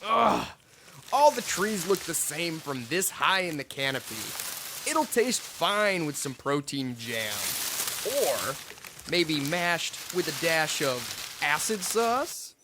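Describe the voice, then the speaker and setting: A man's voice: fairly high pitch, very animated, slow, background noise. A man playing a restless, grumbling but wistful human exile in a sci-fi audio drama.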